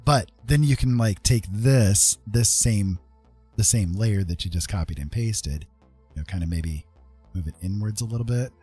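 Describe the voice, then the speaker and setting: Low, mellow, husky man giving a tutorial. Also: moderate pace, soft background music. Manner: easygoing, informal, tags points with check-in questions. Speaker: digital art streamer and instructor. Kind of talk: casual live tutorial talk.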